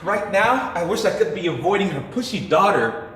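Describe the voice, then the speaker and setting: A man's voice: sits high, big pitch swings, moderate pace, fairly bright tone. A man playing a cocky, flippant younger brother and heir in a stage play, with projected wisecracks. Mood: evasive. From Tanzania. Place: theatre stage, picked up from a distance. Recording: echoey theatre, distant mic, faint noise.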